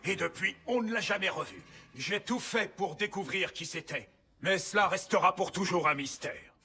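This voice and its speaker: A man's voice: sits high, moderate pace, pushed and bright, slightly rough, exaggerated voice. An actor playing a nostalgic old male motorbike who tells stories in a dramatic, confiding way, in a French cartoon dub. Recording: music bed under the voice. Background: music.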